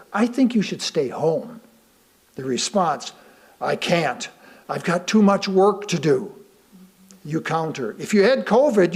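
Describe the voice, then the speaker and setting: An American man reads a sermon aloud to a congregation, speaking deliberately with pauses for emphasis and rhetorical questions. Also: on the high side, creaky, darkish tone, slightly rough. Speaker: lay reader delivering a sermon.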